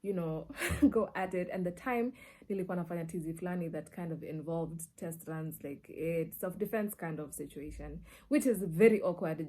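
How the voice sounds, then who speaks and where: A woman with a Kenyan accent telling personal stories casually and expressively, slipping between languages and acting out dialogue. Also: fairly low pitch. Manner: expressive, acts out dialogue and reactions. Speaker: media personality and storyteller. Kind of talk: casual personal storytelling, mixing in Swahili.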